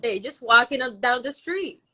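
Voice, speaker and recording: A woman's voice: a little high, lively intonation, normal speed, sharp tone. A young woman from Puerto Rico, a guest in a casual interview, talking boldly and bluntly with easy self-assurance.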